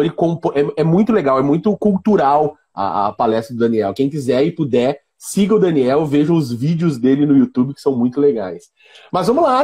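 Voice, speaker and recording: A man with a southern Brazilian accent from Rio Grande do Sul, hosting a casual, joking chat in an informal, teasing, rambling way, with a husky voice. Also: on the high side, dark tone. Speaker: live-stream host; physiology and biomechanics professor.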